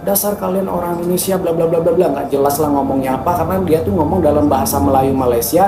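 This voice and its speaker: Fast-talking man in an echoey room. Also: on the high side, dark tone. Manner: rapid, informal slang, indignant storytelling. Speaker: TikToker. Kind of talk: casual storytelling to camera.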